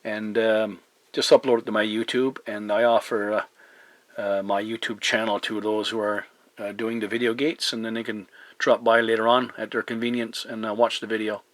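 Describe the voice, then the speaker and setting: A man's voice: fairly low pitch, flat delivery, moderate pace, dry recording. A man from Newfoundland, Canada, chatting casually as a CB radio operator, polite and hesitant, using radio procedure words. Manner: hesitant, polite, spells in phonetic alphabet. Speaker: CB radio operator and video maker. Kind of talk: casual radio contact chat with radio protocol. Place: home radio desk, indoors. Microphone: camera pointed at the radio.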